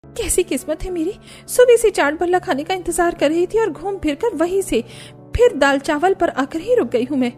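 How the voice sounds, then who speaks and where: Woman voicing a dramatic, high-pitched young Indian wife. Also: expressive, normal speed, darkish tone, faint music behind. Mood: self-pitying. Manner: dramatic, emphatic, pleading complaints. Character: young Indian wife, eager, easily hurt, complaining. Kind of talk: cartoon story dialogue.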